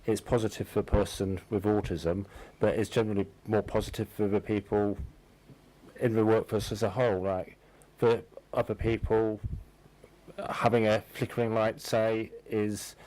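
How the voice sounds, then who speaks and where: A man explaining in measured short phrases as a guest in a conversational radio interview. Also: fairly low pitch, little pitch movement, a little mellow, rough recording.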